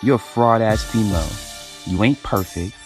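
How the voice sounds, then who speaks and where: An actor playing a cocky, spiteful young lover with a bruised ego in an audio drama, slangy and taunting. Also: male voice, fairly low pitch, normal speed, close to an everyday voice. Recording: music bed behind the voice.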